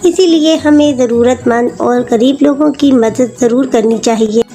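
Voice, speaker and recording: A woman's voice: on the high side, normal speed, warm tone. A woman narrator reading a scripted moral story. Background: faint music.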